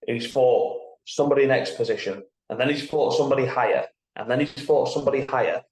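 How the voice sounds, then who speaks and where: Male podcast co-host, medium-pitched, explanatory and conversational, working through examples, recorded with noticeable room echo. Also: quick pace, darkish tone. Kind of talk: casual sports podcast discussion. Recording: roomy, echoey sound.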